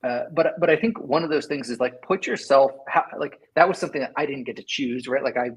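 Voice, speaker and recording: A man's voice: medium pitch, quick pace, slightly rough. A middle-aged man from California, a guest in an interview, talking loosely and thinking aloud with many "like" and "right?" asides. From Sacramento, California. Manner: rambling, lots of "like" and "right?". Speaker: engineering leader, guest. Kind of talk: casual interview talk.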